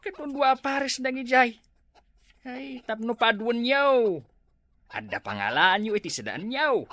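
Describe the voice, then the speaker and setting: A grumbling in-law in a rural comedy drama, acted by a woman. The voice is bright and cutting, with big pitch movement and little room sound. The manner is chiding and disapproving. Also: lively intonation, a little slow, no echo. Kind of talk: Ilocano comedy drama acting.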